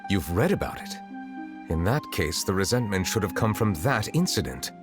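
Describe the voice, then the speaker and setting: A man voicing a dignified, reserved chief justice in a video game, formal and composed, with measured wording. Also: fairly low pitch, very animated. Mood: analytical. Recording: music behind the voice.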